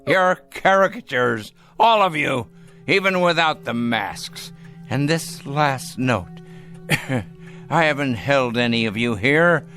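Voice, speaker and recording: A scornful old man near death, the patriarch of a radio drama. He speaks slowly and deliberately, with sweeping, theatrical rises and falls. His bitter contempt comes through in a bright, cutting tone. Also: medium pitch, lively intonation, faint music behind, full character voice. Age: older. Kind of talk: dramatic radio play acting.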